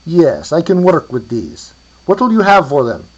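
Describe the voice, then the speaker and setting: A man's voice: on the high side, huge pitch swings, unhurried, breathy edge, highs cut off, voice pushed up, full character voice. A man voicing a courteous, exacting grave robber with a Hungarian accent and a formal manner, from a storybook. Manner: formal, polite.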